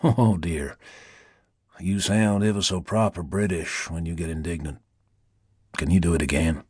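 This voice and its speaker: A man's voice: sits low, measured pace, a little mellow, husky, no echo, clean studio sound, pitched below the performer's own voice. A man voicing a sly, menacing American seducer with a languid, mocking Southern drawl and a velvety, whispery purr. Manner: lazy drawl, mocking and insinuating.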